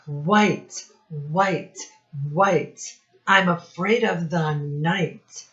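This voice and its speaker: A woman teacher speaking to young children in a singsong instructional style, with simple phrases and deliberate repetition. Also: on the low side, reverberant. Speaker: children's teacher and read-aloud host. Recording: dull, lo-fi sound.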